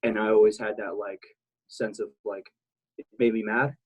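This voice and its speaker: A man's voice: lowish voice, steady tone, moderate pace, husky, low-quality recording. A man in his thirties from South Texas, a military veteran talking as an interview guest, rambling and blunt, with many restarts and fillers. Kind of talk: casual interview talk.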